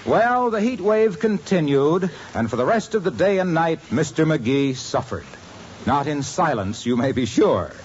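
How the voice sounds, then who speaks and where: A man announcing and narrating a 1950s radio comedy in a measured, wry manner. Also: fairly high pitch, animated, a faint crowd, a little hiss or hum. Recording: dull 1950s radio broadcast, band and audience.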